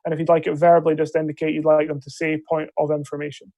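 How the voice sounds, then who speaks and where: A man's voice: fairly high pitch, completely flat delivery, fast talker, dark tone, dry recording. A man chairing an online debate on a video call, giving polite, hesitant instructions full of ums. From Scotland. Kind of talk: procedural briefing on a video call. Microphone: video call microphone.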